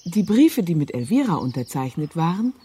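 An actress playing a naive, pious and gently dogged lady in a radio crime drama, speaking in an earnest, hesitant way. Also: on the low side, very animated, fairly quick, slightly rough. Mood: gentle, resolute.

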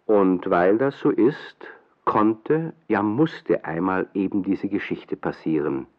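A man narrating a children's radio play from a script, a measured and expressive storyteller with deliberate pauses. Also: low voice, dull audio.

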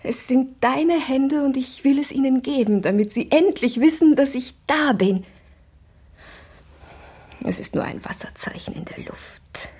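An actress playing an anxious young woman in a radio drama, speaking in a hushed, halting inner monologue that is often whispered. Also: high-pitched, husky. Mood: troubled, intimate. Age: young adult.

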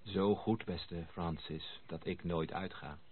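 An actor playing an earnest, sympathetic gentleman with a husky voice and courteous manner in a radio drama. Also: sits low, fairly even intonation, slow, a little hiss or hum. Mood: sympathetic, sincere. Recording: tinny, band-limited old radio recording.

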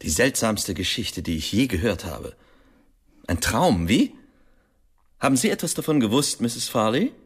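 An actor playing a factual police inspector in a radio play, reporting in a matter-of-fact, official manner. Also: male voice, on the low side, sing-song, measured pace, long pauses, slightly rough, full character voice. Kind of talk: German radio play acting.

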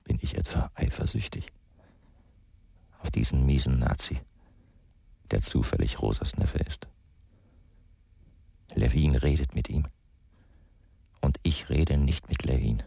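An actor playing a brooding, gruff former policeman turned investigator, muttering terse inner monologue in a radio crime drama. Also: extremely low pitch, a little slow, long pauses, mellow, dry recording, heavily acted. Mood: brooding, irritated. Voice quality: gravelly, husky voice.

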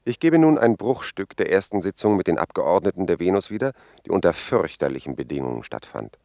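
An actor playing a grave expedition member who gives a formal, measured eyewitness report in a 1950s German radio drama. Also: male voice, low-pitched, very animated, warm tone, no echo, clean studio sound. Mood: dry, reporting.

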